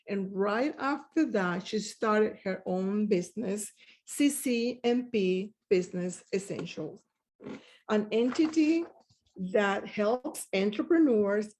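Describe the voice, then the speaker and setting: Female awards host: unhurried, soft and mellow, measured reading of winner tributes. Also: mid-range voice. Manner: measured, reads prepared tributes. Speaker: awards ceremony presenter. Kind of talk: presenting awards at a live event.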